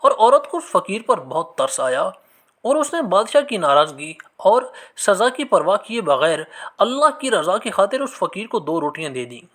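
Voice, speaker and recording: A man narrating scripted religious moral stories in an expressive, measured storytelling manner. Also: sits high.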